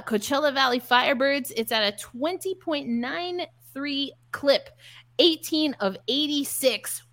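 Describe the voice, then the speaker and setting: High-pitched woman hosting sports talk. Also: fairly bright tone. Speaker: sports podcast host.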